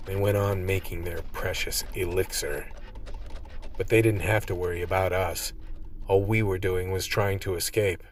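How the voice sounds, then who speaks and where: An actor playing a weary, blunt, hard-boiled cop narrating a gritty ordeal in a scripted radio play. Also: male voice, deep voice, fairly even intonation, normal speed, slight background noise, close to an everyday voice. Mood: grim. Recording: loud music under the voice.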